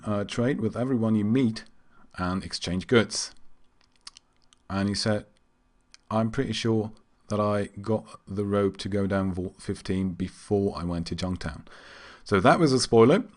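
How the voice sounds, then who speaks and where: A man from Germany, an English speaker, giving casual and somewhat halting gaming commentary. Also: on the low side. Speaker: game streamer. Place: indoors at a computer.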